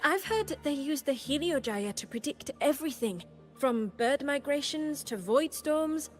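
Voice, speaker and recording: A woman's voice: sits high, animated, a little slow, soft background music. An actress playing a cheerful, eager young woman student in a game, chatty in manner.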